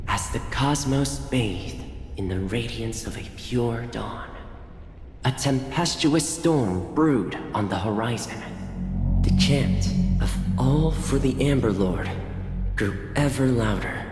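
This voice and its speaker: A solemn male chronicler narrates a cosmic game saga. He speaks slowly and gravely, each phrase declaimed with weight, in a long echoing reverb with clear music behind him. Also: medium pitch, darkish tone. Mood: solemn, grand. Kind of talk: solemn, theatrical storybook narration.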